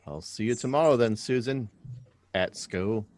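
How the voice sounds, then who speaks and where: A man's voice: on the low side, moderate pace, darkish tone, close to an everyday voice. A man playing a curious, persistent but courteous schoolboy in a live radio drama reading, earnest and questioning. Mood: apologetic.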